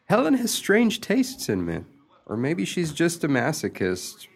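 An actor as a lively, earnest, meek friend. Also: male voice, on the high side, a little slow, creaky, husky, heavily acted. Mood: helpful, confiding. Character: meek, helpful old friend giving sly romantic advice. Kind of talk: radio comedy play acting.

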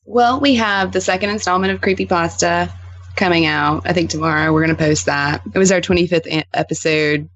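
A woman chatting casually as a podcast guest, sprinkling her anecdotes with filler phrases like an excited storyteller among friends. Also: on the low side, brisk, dull audio. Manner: animated anecdotes peppered with "like" and "you know". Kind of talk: casual interview chat.

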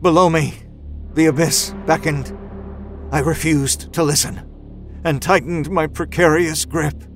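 A man's voice: on the high side, steady tone, a little slow, music in the background. An actor playing a desperate, exhausted man climbing out of an ice crevasse, telling the horror story in first person with intense drama. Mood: fearful, urgent. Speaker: audio fiction narrator. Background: musical score.

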